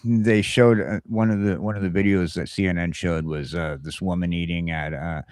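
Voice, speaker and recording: A man with a very low voice hosts a laid-back morning talk show. He speaks casually and haltingly, circling back to repeat his strong opinions. The sound is close and very dry. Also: steady tone, darkish tone, no echo.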